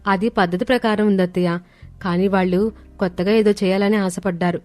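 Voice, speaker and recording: A woman's voice: mid-range voice, fairly even intonation, brisk, no room sound, lightly acted. Woman playing humble, selfless daughter-in-law. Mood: pleading. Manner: polite, soft-hearted, pleading. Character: humble, selfless eldest daughter-in-law, gentle, respectful, traditional. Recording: studio voice over background music.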